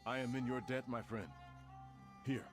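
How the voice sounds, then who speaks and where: A man's voice: mid-range voice, fairly even intonation, moderate pace, natural voice. A man voicing a confident, commanding warrior prince in a fantasy video game, speaking formally and rousingly. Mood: confident, scheming. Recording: prominent music behind the voice. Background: game music.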